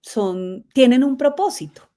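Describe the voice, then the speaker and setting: A middle-aged Colombian woman, a guest telling her life story in a lively way, laughing often. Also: high voice, a little slow. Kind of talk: conversational interview.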